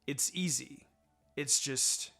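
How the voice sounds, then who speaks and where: A man's voice: mid-range voice, slow, vocal fry, bright, forceful tone, soft background music, full character voice. A man voicing an easygoing, teasing young ninja boy in a story reading. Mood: casual.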